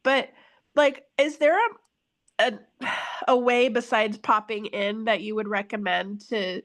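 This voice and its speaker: A woman's voice: fairly high pitch, slow pace, fairly bright tone. A woman caller from the Bay Area, stuffed up from a cold, asking a hesitant, apologetic question. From San Francisco Bay Area.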